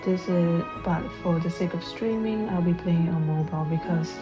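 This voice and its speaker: A woman livestreaming from home in a subdued, casual chat, speaking into an earbud microphone while she plays a game. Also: moderate pace. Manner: low-key, subdued. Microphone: wireless earbuds mic on a phone. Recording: dull lo-fi earbud mic, game music behind. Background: game music.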